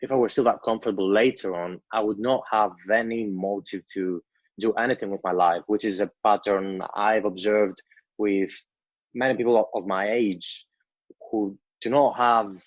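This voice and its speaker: A young man in his twenties with a Greek accent, answering interview questions in a measured, storytelling way. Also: on the low side, steady tone, no echo, lo-fi sound. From Greece. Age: 20s. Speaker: guest.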